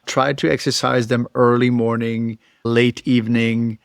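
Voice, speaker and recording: Czech-accented man explaining calmly. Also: mid-range voice, measured pace, gravelly, clear, resonant tone, no room sound, clean studio sound. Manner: thoughtful, explanatory, occasional chuckles. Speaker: veterinarian. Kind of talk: casual educational talk to camera.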